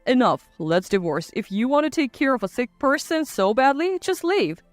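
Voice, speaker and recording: Woman acting as scornful husband, blunt, blustering, story drama, lively intonation, dry sound. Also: sits high, normal speed, no echo, faint music behind. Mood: mocking. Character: arrogant, scornful adult husband, quick to bluster.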